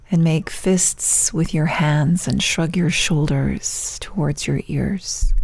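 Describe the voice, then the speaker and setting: A woman guides a meditation in a low, soft voice that turns breathy as phrases end. Her delivery is hushed and very slow, with long pauses. The sound is dry and close. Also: no echo. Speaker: yoga nidra teacher. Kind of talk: guided meditation, soothing instruction.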